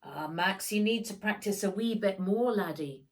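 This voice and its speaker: A woman voicing a kindly old seafaring grandfather in a children's storybook, hearty and gently teasing. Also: medium pitch, moderate pace, natural voice. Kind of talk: children's storybook read-aloud.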